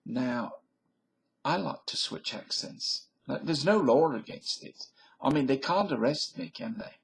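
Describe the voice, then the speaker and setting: A middle-aged man talking slowly through a rambling solo video, his voice gravelly and a little husky. He is blunt and opinionated and keeps drifting into side remarks. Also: mid-range voice, animated. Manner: blunt, opinionated, wandering asides. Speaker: vlogger. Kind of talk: rambling casual monologue.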